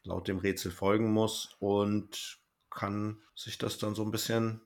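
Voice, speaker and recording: A man's voice: fairly low pitch, flat delivery, measured pace. A man speaking informally as a podcast host in casual review chat, with a dry, joking manner.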